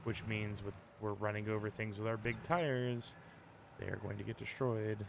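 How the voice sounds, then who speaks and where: A man with a lowish, congested voice talks through his gameplay in an easygoing, self-deprecating ramble. His delivery stays flat and even. A steady engine rumble from the game runs clearly beneath him. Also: moderate pace. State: slightly congested. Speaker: gaming channel host. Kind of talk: casual gameplay commentary.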